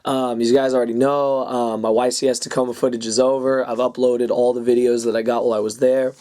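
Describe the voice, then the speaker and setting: A young man with a raspy voice he is losing, hosting a casual advice vlog and talking loosely with lots of ums and uhs. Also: medium pitch, level tone, quick pace, mellow. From California. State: hoarse, losing his voice. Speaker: YouTube card game channel host.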